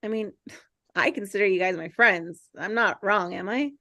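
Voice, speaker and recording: A woman reading the part of an earnest, insecure misfit teenage boy, halting and stammering, in a script table read. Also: medium pitch, bright, forceful tone, no echo, clean studio sound. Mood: hesitant, hopeful.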